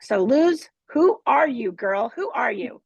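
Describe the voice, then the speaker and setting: A woman's voice: fairly high pitch, lively intonation, slow pace, gravelly, breathy edge, no echo, lo-fi sound. A woman hosting a live online master class from her home studio, with a playful, teasing manner. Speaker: host and team leader.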